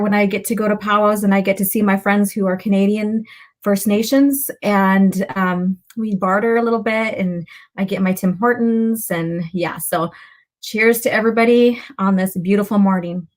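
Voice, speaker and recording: Chatty woman with a slightly raspy voice. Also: medium pitch. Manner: chatty, greeting viewers by turns, with pauses and asides. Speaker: livestream host. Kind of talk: casual live chat to viewers.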